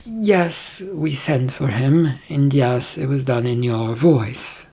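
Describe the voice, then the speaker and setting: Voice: medium pitch, takes it slowly, dark tone, husky. A narrator voicing a stern, imperious storybook queen with a commanding, questioning manner. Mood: stern, probing. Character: stern, probing fantasy queen, imperious wife.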